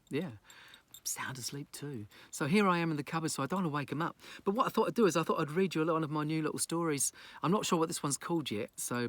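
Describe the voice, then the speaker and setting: A man's voice: fairly high pitch, fairly bright tone, no echo. A man from New Zealand telling a rhyming children's story in a theatrical, playful pirate persona. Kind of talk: rhyming children's story read aloud with casual asides.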